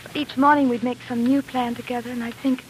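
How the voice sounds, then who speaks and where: A woman's voice: fairly high pitch, little pitch movement, fast talker, noisy background, lightly acted. An actress playing a devoted, wistful young woman in love, speaking earnestly in 1940s radio drama style. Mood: tender, sad. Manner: earnest, romantic delivery.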